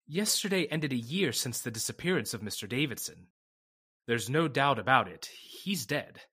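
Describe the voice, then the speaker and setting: A man playing a grave, confiding lawyer in an old-time radio drama, speaking formally and deliberately. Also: medium pitch, animated, bright, forceful tone, slightly rough. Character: grave, confiding male lawyer settling an estate.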